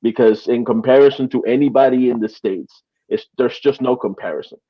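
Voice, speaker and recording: A man from Peru talking soccer in a casual podcast chat, halting and restarting often, with small laughs between points. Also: medium pitch. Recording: slightly dull lo-fi audio.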